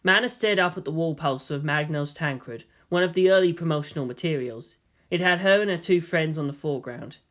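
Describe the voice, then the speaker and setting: A man's voice: fairly high pitch, brisk, a bit bright. A man reading fiction aloud as narrator, in a dramatic scripted style. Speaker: story narrator.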